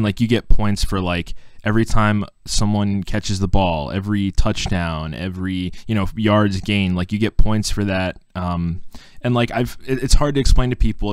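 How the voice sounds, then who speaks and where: Laid-back young podcast host, smooth low voice. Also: male voice, no echo. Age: 20s. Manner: relaxed, rambling with many "like"s. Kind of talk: casual chat. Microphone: video call.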